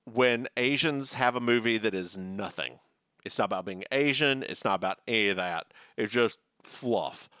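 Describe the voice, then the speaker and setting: Bright, animated man gossiping about TV. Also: fairly low pitch, normal speed, clean tone, no room sound, clean studio sound. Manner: animated, campy, mimics characters. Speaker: podcast co-host. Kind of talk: casual chat recapping a TV episode.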